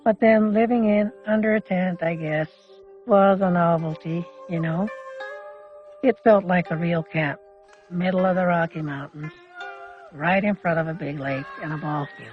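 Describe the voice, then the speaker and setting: An older man from Canada shares memories in a fairly high voice, speaking informally and plainly. The recording is a little dull, with music underneath. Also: steady tone, a little slow. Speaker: interviewee recalling childhood. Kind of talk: informal reminiscence.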